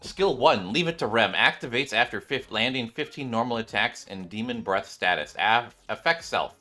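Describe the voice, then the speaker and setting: A man hosting a gaming channel with casual, excitable commentary, often exclaiming and repeating his phrases. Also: medium pitch, moderate pace. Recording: music behind the voice.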